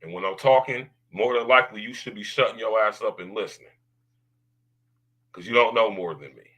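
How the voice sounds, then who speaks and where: Male podcast host, deep baritone with a bright edge, loud drawling slang, keeps asking "right?". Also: brisk, poor audio. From United States. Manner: loud, drawling slang, repeats tag questions. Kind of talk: casual podcast monologue.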